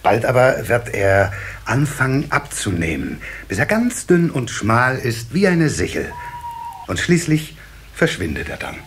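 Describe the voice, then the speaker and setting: A man's voice: mid-range voice, sing-song, a little slow, a bit bright, breathy edge, full character voice. A man playing a kindly, proud water sprite father in a children's radio play, musing and fatherly. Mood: fatherly, indulgent. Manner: fatherly, musing, hesitant repetitions. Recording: faint hiss of old cassette.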